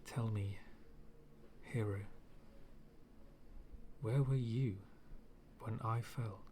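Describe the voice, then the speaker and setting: A man playing a bitter, menacing villain in an ASMR roleplay, speaking in a brooding, dramatic, half-whispered way with long pauses. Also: on the low side, fairly even intonation, dark tone, slight background noise. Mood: taunting, bitter. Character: fallen-world villain, male, bitter, menacing, coldly philosophical.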